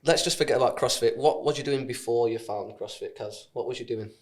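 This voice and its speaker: A man's voice: medium pitch, a little fast, darkish tone. A man hosting a podcast in casual interview chat, with a teasing, bantering manner. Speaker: podcast host.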